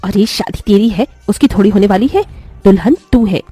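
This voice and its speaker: A woman's voice: medium pitch, normal speed, breathy edge, no echo, faint music behind, close to an everyday voice. A woman playing a spiteful middle-aged stepmother in a cartoon melodrama, haughty and taunting. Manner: taunting, haughty, sharp-tongued. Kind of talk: Hindi animated melodrama acting.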